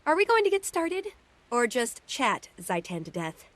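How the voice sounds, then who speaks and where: Game casting: snappy, sardonic soldier; high, swooping voice, brisk and impatient. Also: female voice, wildly swooping pitch. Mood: sardonic impatience. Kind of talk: video game cutscene acting.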